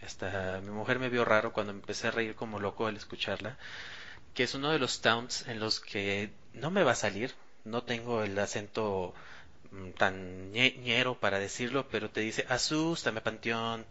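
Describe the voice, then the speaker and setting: A man, chatting about games in an emphatic, animated way. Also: fairly low pitch, moderate pace, dull audio. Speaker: podcast panelist. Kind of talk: casual gaming chat.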